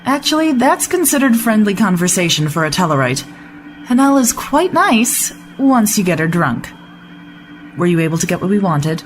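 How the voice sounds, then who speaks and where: A woman's voice: mid-range voice, very animated, moderate pace, soft background music, close to an everyday voice. A woman playing a composed starship officer in a sci-fi audio drama, dry, teasing and unruffled. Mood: wry, patient.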